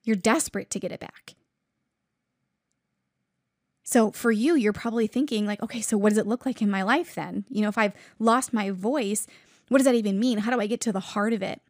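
A woman's voice: medium pitch, fairly quick, no echo, studio quality. A woman preacher giving an earnest, fervent sermon in a conversational way, with asides to her listeners.

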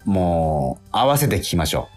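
A man co-hosting a radio show in casual chat, teasing and mock-grumbling in blunt, rough speech. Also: deep voice, big pitch swings, moderate pace, soft background music.

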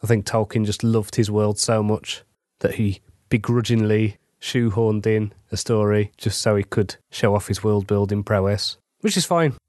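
A man's voice: on the low side, normal speed, a little mellow, no echo, studio quality. A middle-aged English man with a cold, chatting casually through a weekly update on his writing. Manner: chatty, conversational, self-deprecating asides. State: congested from a cold. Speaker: fantasy author.

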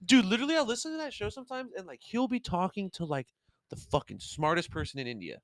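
A man's voice: high voice, sing-song, fast talker, no room sound. A young man co-hosting a casual podcast, rambling with lots of fillers, laughing and swearing. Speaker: podcast co-host. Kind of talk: casual chat.

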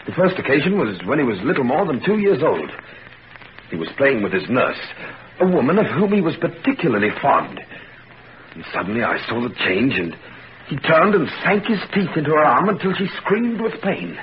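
A man's voice: mid-range voice, huge pitch swings, normal speed, a little hiss or hum. A haunted, grave father. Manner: hushed, grave, dramatic. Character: anguished middle-aged father, haunted by his son. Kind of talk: 1940s radio acting. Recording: dull old radio recording.

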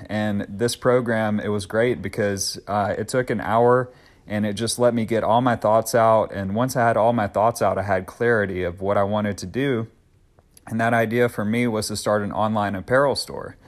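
Guy in his 30s doing a solo podcast, kinda low voice, upbeat and earnest, some ums. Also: fairly even intonation, moderate pace. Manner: earnest, upbeat, conversational with fillers. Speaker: podcast host.

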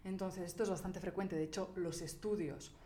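A woman co-hosts a relaxed podcast conversation. She explains findings as she goes, pausing often and restarting her sentences. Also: lowish voice. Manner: thinks aloud, hesitant, with many fillers and restarts. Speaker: podcast co-host. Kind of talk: casual chat explaining research.

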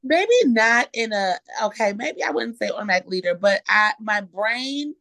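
A woman in her thirties co-hosting a casual podcast, chatty and animated, swearing now and then. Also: mid-range voice, moderate pace, no room sound. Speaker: podcast co-host. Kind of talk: casual chat.

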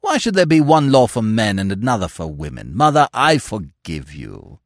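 Brief: male reader as an insistent young gentleman, earnest, lively delivery. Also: fairly low pitch, normal speed, dry recording, clean studio sound, voice pushed up.

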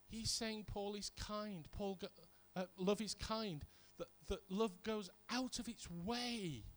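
High-pitched British man preaching. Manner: conversational storytelling with emphatic repetition. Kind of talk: sermon with personal anecdotes.

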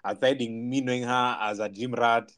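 A man's voice: medium pitch, fairly even intonation, normal speed, fairly bright tone. A man hosting a podcast, asking his guest probing questions in a playful, teasing way. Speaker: podcast host. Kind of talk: casual interview.

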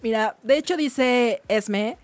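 A woman with a Mexican accent co-hosting a casual live talk show, bantering and arguing with lots of quick protesting interjections. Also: on the high side, no room sound. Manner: animated, argumentative, protesting with repeated interjections.

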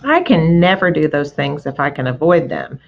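A woman's voice: on the low side, animated, slow, lo-fi sound, full character voice. A woman voicing a crafty, greedy male rat who speaks sourly and sneeringly. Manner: sour, sneering.

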